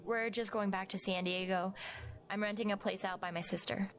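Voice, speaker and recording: A woman's voice: mid-range voice, fairly even intonation, moderate pace, natural voice. An actress playing a composed, stubborn young woman journalist in naturalistic audio drama dialogue. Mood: composed, guarded.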